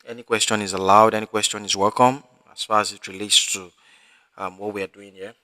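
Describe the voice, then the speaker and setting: Guy teaching a live online class, kinda low voice, lots of ums and restarts. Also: little pitch movement, bright, forceful tone, no room sound. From Africa. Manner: halting, many fillers and restarts. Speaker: instructor.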